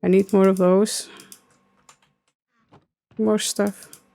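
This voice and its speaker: Icelandic woman in her 30s, gravelly voice, flat even delivery, rambly gaming chat with lots of ums. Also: medium pitch, extremely slow. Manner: rambling, many fillers, answering chat. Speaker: streamer. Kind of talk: casual gaming stream chat.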